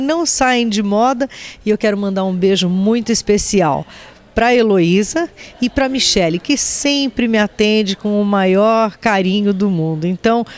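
A middle-aged Brazilian woman hosting a local TV program, chatting upbeat and promotional into a handheld mic at an indoor event. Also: a little high. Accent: Brazilian Portuguese. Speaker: TV program host. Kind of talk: casual on-camera interview chat. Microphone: handheld microphone.

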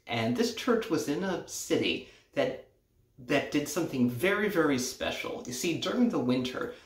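A young man giving a conversational children's message at church, telling it simply with emphatic repetition. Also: mid-range voice. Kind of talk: children's sermon, told conversationally. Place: home kitchen, indoors. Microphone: camera a few feet away. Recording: echoey room.

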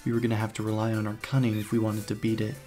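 A man's voice: fairly low pitch, completely flat delivery, very fast, warm tone. A man reading scripted first-person story narration for a video game playthrough, as the hero. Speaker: narrator and let's player, speaking in character as hero. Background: background music.

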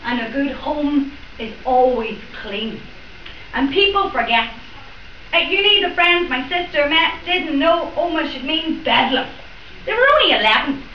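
A woman's voice: sits high, lively intonation, unhurried, a little hiss or hum. A young woman from Northern Ireland with a Northern Irish accent, performing spoken word poetry in a library with a chatty, joking introduction. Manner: chatty and joking between rhyming verses. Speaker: performance poet. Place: library event room. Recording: roomy, distant, dull room recording.

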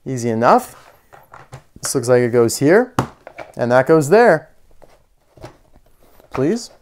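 A man's voice: medium pitch, sing-song, slow, a little hiss or hum. A man giving casual, unscripted hands-on toy commentary, chatting and thinking aloud as he goes. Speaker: toy reviewer. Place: indoor room full of toy displays.

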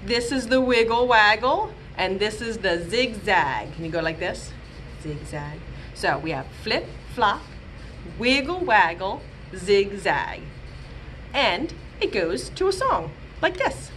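A woman in her thirties, a children's librarian, reading a picture book aloud and leading songs for young children in a gentle, sing-song, expressive way, filmed on a webcam in a small room. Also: medium pitch, takes it slowly, a little hiss or hum. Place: small room with bookshelves, indoors. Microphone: webcam at close range.

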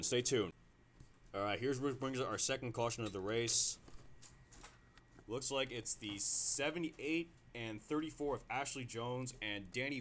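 Male amateur race caller, mid-range voice, excitable and rough-edged delivery, faint noise floor. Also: medium pitch. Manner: excitable, restarts sentences with fillers. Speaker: race commentator. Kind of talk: amateur sim racing play-by-play commentary.

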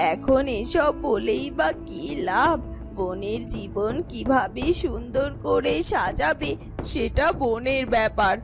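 A woman's voice: high-pitched, vocal fry, a bit bright, full character voice. A woman voicing a troubled, caring palace garden-keeper who muses to herself. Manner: musing, self-questioning.

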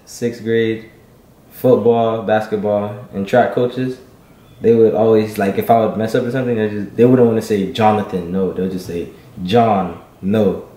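A man's voice: on the low side, fairly even intonation, normal speed, mellow, reverberant. A teenage boy from Miami introducing himself for an audition, rambling loosely. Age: teens. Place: living room with bookshelves.

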